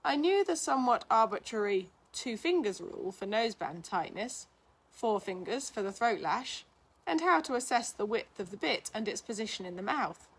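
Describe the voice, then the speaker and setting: High-voiced woman reading an article aloud. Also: sing-song, moderate pace, slightly rough, poor audio. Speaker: reader of a blog, physiotherapist.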